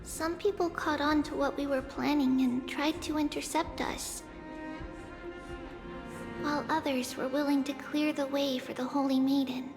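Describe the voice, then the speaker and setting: High-voiced, composed young girl guide. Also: level tone, big-room sound, over music. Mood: calm, solemn. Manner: measured, knowing, earnest. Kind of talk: dramatic game voice acting.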